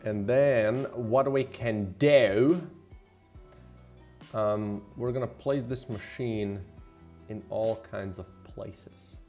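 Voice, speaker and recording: A man talks casually on a stream while he works. He rambles and thinks out loud in an unpolished, conversational way. Music plays faintly behind him. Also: lowish voice, fairly even intonation, extremely slow. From Slovenia. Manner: rambling, thinking aloud, mildly profane. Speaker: game developer and streamer. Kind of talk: casual livestream chat while working. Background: background music.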